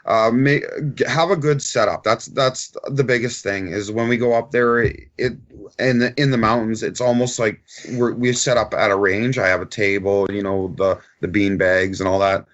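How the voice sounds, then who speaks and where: A man's voice: lowish voice, steady tone, normal speed, a bit bright, highs cut off. A middle-aged man, a father, chatting casually as an interview guest. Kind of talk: casual chat.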